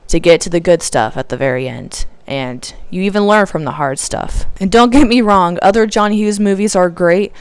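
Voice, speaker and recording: A nineteen-year-old woman talks candidly to the camera in a casual vlog, laughing now and then. Her voice is smooth and clear, sitting a little low for a woman. The mic is close and dry, with almost no room sound. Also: normal speed, few pauses, dry recording. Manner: candid, confessional, laughs often. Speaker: YouTuber, film student. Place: small room, poorly lit.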